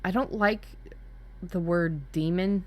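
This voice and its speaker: A woman's voice: fairly low pitch, takes it slowly, darkish tone. A woman in casual brainstorming chat as a workshop co-host, talking candidly and dramatically, with some swearing. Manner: candid, sweary, dramatic about spooky ideas.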